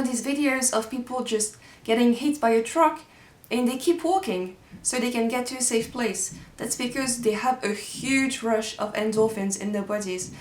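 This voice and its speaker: A woman's voice: a little high, reverberant. Young Swiss woman with French accent. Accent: French-speaking Swiss. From Switzerland. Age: young adult. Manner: earnest, reassuring, with frequent ums. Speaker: video creator. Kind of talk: casual explanatory vlog.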